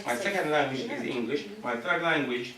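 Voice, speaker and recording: A man's voice: medium pitch, fairly quick. A man whose first language is Arabic, leading a classroom discussion with a firm, explanatory manner and laughing as he argues. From Syria. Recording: echoey room, far mic, noisy.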